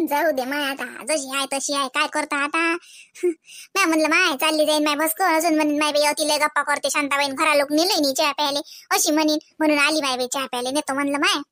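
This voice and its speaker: A woman's voice: high voice, fairly even intonation, brisk, sharp tone, no room sound, clean studio sound. A woman playing a gossipy, complaining cartoon village housewife who chatters colloquially in a Varhadi Marathi accent. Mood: resigned, chatty. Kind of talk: comic cartoon village dialogue.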